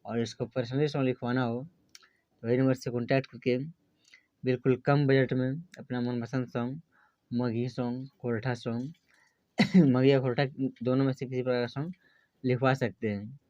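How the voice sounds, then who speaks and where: A man's voice: mid-range voice, little pitch movement, moderate pace, long pauses, dark tone, no room sound. Man, channel host, casual rehearsed delivery, muffled lo-fi recording, dry sound. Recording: dull lo-fi sound.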